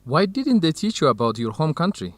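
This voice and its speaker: A man's voice: fairly high pitch, sing-song, fairly quick, dry recording. A man acting a friendly, inquisitive young man in a radio drama, with an encouraging way of talking. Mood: curious.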